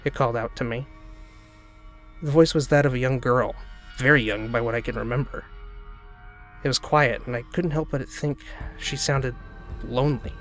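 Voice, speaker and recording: A man's voice: medium pitch, steady tone, normal speed, long pauses, fairly bright tone. A man giving a dramatic narrated reading of a spooky first-person story. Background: background music.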